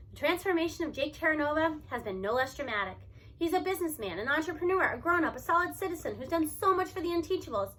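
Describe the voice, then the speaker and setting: A woman reading a story aloud in expressive first-person narration, with animated delivery and emphatic stresses. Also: high voice.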